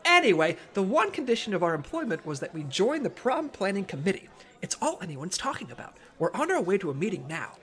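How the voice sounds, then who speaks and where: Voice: medium pitch, very animated, a little fast, fairly bright tone. An actor playing an earnest, put-upon undercover agent posing as a gym teacher in an audio comedy. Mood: wry.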